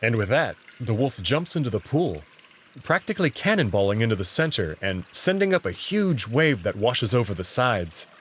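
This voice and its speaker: A man reading scripted, expressive first-person narration as the narrator of a visual novel. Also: mid-range voice, moderate pace, a little hiss or hum.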